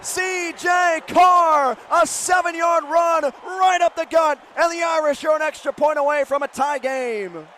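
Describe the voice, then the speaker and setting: A man calling live football play-by-play for radio, an excitable announcer given to shouting on big plays. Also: very high voice, measured pace, hardly any pauses. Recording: live stadium broadcast with faint noise.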